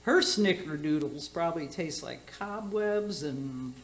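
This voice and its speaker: A woman's voice: deep voice, expressive, unhurried, slight background noise. A woman playing a tipsy, bawdy middle-aged auntie who hosts a radio cooking show in a comedy play, rambling and gushing with slurred word slips. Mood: brash, teasing. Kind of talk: community theater radio comedy acting. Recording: roomy, echoing space.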